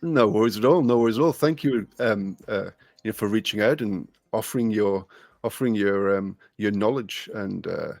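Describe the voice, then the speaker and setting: A man, hosting a casual podcast interview in a relaxed, friendly way, repeating phrases and filling pauses. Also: on the low side, vocal fry, no echo, studio quality. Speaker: podcast host.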